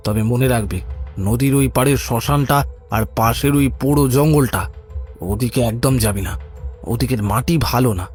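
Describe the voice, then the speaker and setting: A man's voice: mid-range voice, moderate pace, darkish tone, lightly acted. A man acts a secretive village uncle of middle age in a cartoon story. His mid-range voice admonishes and commands, protective but evasive. Music plays faintly behind. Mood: firm, secretive. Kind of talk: animated audio story dialogue.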